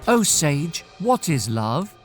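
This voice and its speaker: A man's voice: fairly high pitch, very animated, slow, faint music behind. A man voicing an earnest, questioning young philosopher who seeks truth in a storybook tale.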